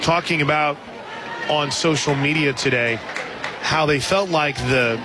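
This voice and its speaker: A man doing live radio play-by-play of a softball game, mixing pitch calls with shout-outs to listeners. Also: unhurried. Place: outdoor stadium broadcast position. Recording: broadcast with loud crowd and background sound. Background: loud crowd and music.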